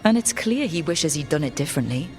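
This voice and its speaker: A woman's voice: low voice, big pitch swings, fast talker, faint music behind. A woman voicing a thoughtful, level-headed elven heroine in a fantasy video game, speaking sincerely. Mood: reflective.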